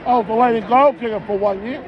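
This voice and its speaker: A hoarse man with a worn-out voice, vlogging excitedly from a football stadium and shouting along with the game. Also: extremely high pitch, quick pace, highs cut off. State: hoarse, voice shot. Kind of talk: casual vlog chat at a match. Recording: loud stadium crowd and noise behind.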